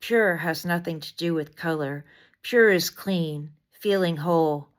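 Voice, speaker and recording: Woman reading poetry aloud with expressive emphasis. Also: fairly low pitch. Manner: deliberate short phrases with dramatic emphasis. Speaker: poet reading another poet's work.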